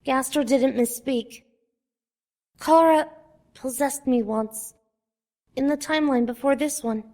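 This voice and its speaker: Woman as a solemn, high-voiced haunted child. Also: dark tone. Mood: grim, confessing. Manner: solemn, deliberate storytelling. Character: haunted young child confessing past killings. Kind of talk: fan comic dub voice acting.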